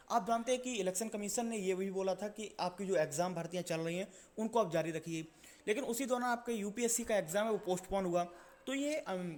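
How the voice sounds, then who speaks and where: A man presenting an exam-update video in an informal explainer style, repeating his points and answering his own questions. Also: high voice.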